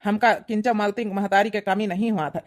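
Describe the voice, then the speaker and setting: A woman's voice: mid-range voice, steady tone, a little fast, natural voice. A woman with an Awadhi accent voicing a trusting, affectionate middle-aged village father in a comic cartoon. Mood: grateful, trusting. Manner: affectionate, earnest.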